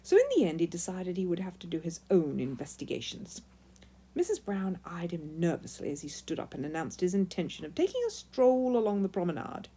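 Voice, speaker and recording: A woman narrating a children's story in an expressive, emphatic read-aloud style. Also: lowish voice, fairly quick, breathy edge.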